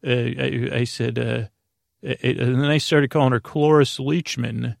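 A man hosting a bedtime podcast, rambling through a halting, mumbling monologue with a droning, creaky voice. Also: medium pitch, flat delivery, no room sound, clean studio sound. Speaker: podcast host.

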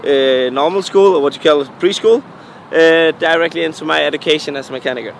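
A young man from Denmark, a DJ and music producer, answering interview questions in halting, filler-heavy English with a Danish accent. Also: on the high side, vocal fry, slight background noise. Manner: halting non-native English, frequent fillers.

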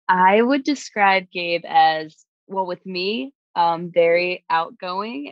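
Lower-voiced woman from New York telling her story. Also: dry recording, muffled recording. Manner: animated, acts out her own past thoughts. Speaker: stage performer. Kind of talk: casual personal storytelling.

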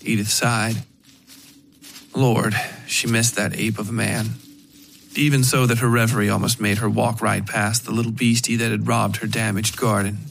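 A man reading dark fiction as a scripted narration, in a measured way with dramatic pauses. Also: on the low side, level tone, creaky, slight background noise, low-quality recording. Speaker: narrator of a horror fiction podcast.

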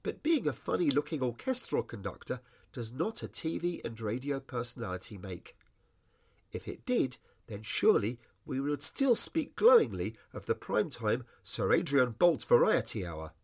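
A middle-aged British man reading his own scripted, humorous memoir in a wry, expressive storytelling manner. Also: medium pitch, moderate pace, husky. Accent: British English. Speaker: writer reading own personal essay.

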